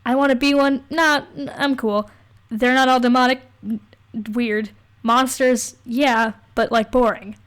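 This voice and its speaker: A woman's voice: high voice, steady tone, measured pace. A young woman co-hosting a podcast in casual banter, with a giggly, wry and playful manner. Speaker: podcast co-host. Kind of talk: casual banter, reading quizzes aloud.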